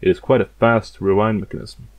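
A man's voice: fairly low pitch, steady tone, a little fast, darkish tone. A man narrating a step-by-step demonstration in a plain, matter-of-fact manner. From outside the United States. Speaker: hobbyist demonstrating a vintage gadget.

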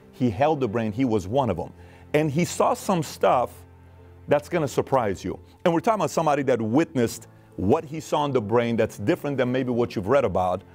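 A man in his thirties from Iran, hosting a studio interview, talking earnestly with lots of fillers and gestures. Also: medium pitch. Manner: animated, earnest, with many fillers and hand gestures. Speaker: host. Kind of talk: interview and show intro. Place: studio set with wood-panel wall.

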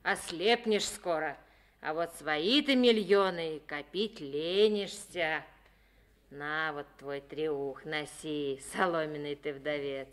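A woman playing a bold, teasing, warm-hearted collective farm chairwoman in a Soviet radio play, with forthright folksy speech. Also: deep voice, slow. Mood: chiding, encouraging.

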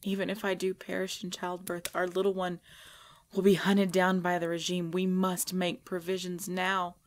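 A woman playing a proud, pregnant vampire leader in pain, speaking formally and dramatically in an ASMR roleplay with breathy drops toward a whisper. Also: fairly low pitch, completely flat delivery, lightly acted. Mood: grave, urgent. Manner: slow, formal, dramatic, often dropping to whispers.

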